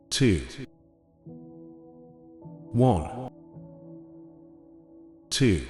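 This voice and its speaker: A man narrating a scripted guided meditation in a gentle, unhurried manner, with short phrases spaced apart. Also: mid-range voice. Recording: echoey long reverb over ambient music. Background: soft ambient music.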